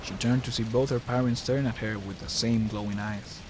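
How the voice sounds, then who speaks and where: A man's voice: medium pitch, steady tone, a little fast, heavy background noise. A man delivering scripted, dramatic narration for a horror audio drama, close on the mic.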